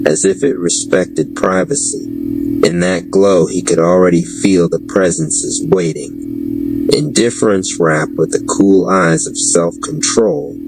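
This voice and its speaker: A man narrating a dark fantasy story in a measured, storytelling manner. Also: low-pitched, gravelly, noisy background. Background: background music.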